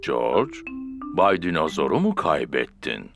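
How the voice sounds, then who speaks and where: A very deep, gravelly cartoon daddy pig, big and jovial. His pitch bounces dramatically as he encourages in a hearty, playfully important manner. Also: wildly swooping pitch, a little slow, music in the background, heavily acted. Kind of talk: children's cartoon dubbing.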